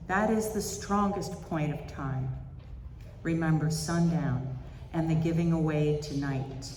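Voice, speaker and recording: A service leader speaks slowly and deliberately in a big echoing hall. The voice sits at a distance from the microphone, with the measured phrasing of church announcements and readings. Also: medium pitch, a little mellow. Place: church sanctuary during a service. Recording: echoey hall, distant mic, faint noise.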